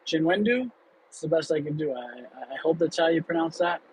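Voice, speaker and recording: A man in his late thirties hosts an off-the-cuff livestream from behind the wheel, answering viewers candidly and rambling through restarts. His voice sits a little high for a man. A faint hum of the car in traffic runs underneath. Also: animated, a little fast, darkish tone, rough recording. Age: 30s. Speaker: livestream host. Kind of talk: casual livestream chat.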